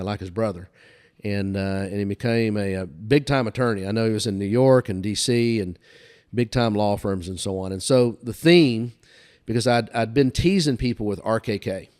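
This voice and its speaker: A man's voice: fairly low pitch, darkish tone. An older man telling anecdotes in a casual, folksy way as a guest, often recounting conversations. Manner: folksy, recounts dialogue back and forth. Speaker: podcast guest telling career stories.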